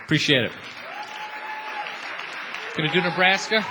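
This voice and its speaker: A man's voice: fairly high pitch, animated, unhurried, a crowd in the background, noisy background. Male radio host, live theatre stage. Informal, folksy announcer talking casually into a stage microphone.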